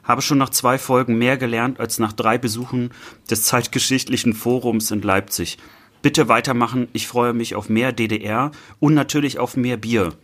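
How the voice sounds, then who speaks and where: A man co-hosting a casual podcast, relaxed and often laughing, with frequent hesitations. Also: mid-range voice, moderate pace. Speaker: podcast co-host.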